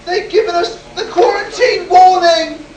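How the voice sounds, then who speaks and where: A performer in a live radio play as a desperate, overwrought male test pilot, urgent and halting. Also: very high-pitched, fairly even intonation. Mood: frantic. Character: desperate test pilot man warning of doom. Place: convention hall stage, live performance. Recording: roomy distant live stage recording, noisy.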